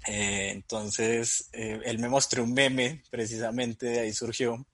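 A man's voice: mid-range voice, a little slow, dry recording. A man in his thirties, a Bible teacher, telling stories as a guest in a casual interview, often chuckling as he talks. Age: 30s. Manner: relaxed storytelling, chuckles mid-sentence.

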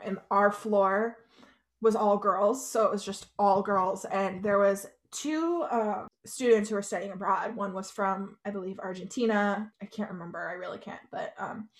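A young adult woman co-hosting a podcast, talking casually with plenty of "like" and "you know". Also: medium pitch. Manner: chatty, lots of "like" and "you know". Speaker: podcast co-host. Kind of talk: casual chat.

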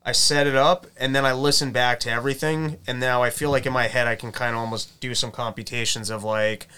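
Bright, crisp-voiced man chatting casually. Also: fairly even intonation, fairly quick. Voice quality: says his voice is high-pitched. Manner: rambling, self-correcting, technical. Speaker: comedian recording his shows. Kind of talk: casual chat.

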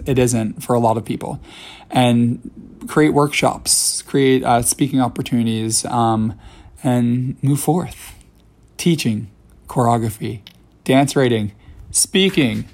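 A man in his thirties hosts a solo podcast and talks in a loose, rambling way, full of "um"s and "like"s. His voice is a bit hoarse and worn. It sits at an ordinary middle pitch. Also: darkish tone. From New York City. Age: 30s. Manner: rambling, many fillers and restarts. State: slightly hoarse, voice still recovering. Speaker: podcast host. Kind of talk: casual solo podcast talk.

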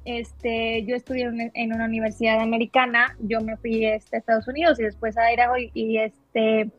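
A young woman from Mexico with a Mexican accent, talking as a guest on an interview podcast. Her voice is husky and sits a little high. She rambles warmly with fillers, laughs, and the recording is dull, with faint music behind. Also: level tone, normal speed, no room sound. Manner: chatty, laughs often, many fillers. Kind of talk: casual interview storytelling.

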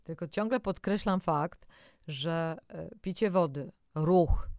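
Female dietitian co-host: smooth, fairly low voice, straight-talking, chatty advice, dry close sound. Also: takes it slowly, darkish tone, no room sound. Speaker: dietitian co-hosting a health programme. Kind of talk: conversational advice to the listener.

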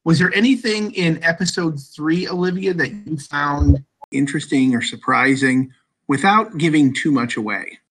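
A man's voice: a little high, moderate pace, a bit bright, a little hiss or hum, rough recording. A man hosting a podcast, interviewing guests in a hesitant way and often repeating words. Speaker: podcast host.

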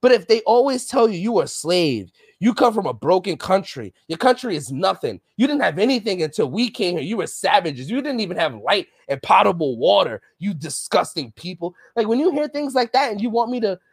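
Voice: medium pitch, big pitch swings, fairly quick, few pauses, slightly rough, dry recording, studio quality. A podcast co-host in casual political chat, talking with passion, ranting and swearing often.

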